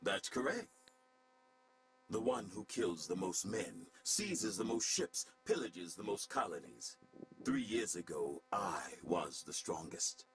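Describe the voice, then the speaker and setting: A man's voice: low voice, sing-song, slow pace, music in the background, exaggerated voice, low-quality recording. A man playing a proud, vengeful alien pirate warlord in a video game, menacing, theatrical and bitter. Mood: smug, boastful.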